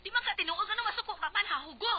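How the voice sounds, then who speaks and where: Dull lo-fi radio drama: worried Cebuano wife, exasperated, questioning, very lively intonation. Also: female voice, very high voice, rapid-fire, a little hiss or hum. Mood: anxious, scolding. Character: Matilde, worried, exasperated wife of a thin-skinned politician. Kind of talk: Bisaya radio drama acting.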